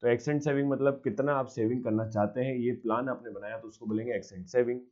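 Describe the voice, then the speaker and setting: A man's voice: medium pitch, steady tone, quick pace, a little mellow, dull audio. A male commerce teacher giving a recorded exam-prep lecture, explaining step by step and answering his own questions. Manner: mixes English terms into his speech.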